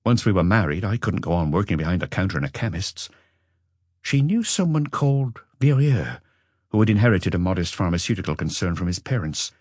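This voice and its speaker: A man's voice: low voice, very animated, brisk, a little mellow, no echo, studio quality. A man voicing a weary businessman under police questioning, earnest, wordy and self-justifying, in an audiobook. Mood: defensive.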